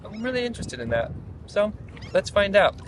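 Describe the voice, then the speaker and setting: Lively, playful American man vlogging. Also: sits high, brisk, music in the background. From United States (Utah). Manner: lively, playful, upbeat storytelling. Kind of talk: casual vlog narration.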